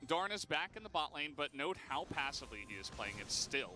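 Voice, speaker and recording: A man's voice: on the high side, sing-song, fairly quick. A man doing casual, joking live commentary on an esports match as one of the casters. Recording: music behind the voice. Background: music.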